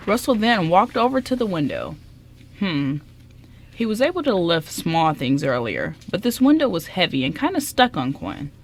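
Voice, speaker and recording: A woman's voice: fairly low pitch, very animated, moderate pace, a little hiss or hum. A woman reading scripted story narration as the narrator of a radio drama.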